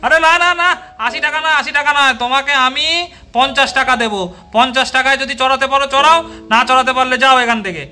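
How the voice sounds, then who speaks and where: A lively village father from a children's doll story played by a man. He is emphatic, bossy and kindly, repeating himself. The voice is strikingly high and sharp. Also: quick pace, exaggerated voice. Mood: indignant, haggling. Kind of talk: amateur doll-story voice acting.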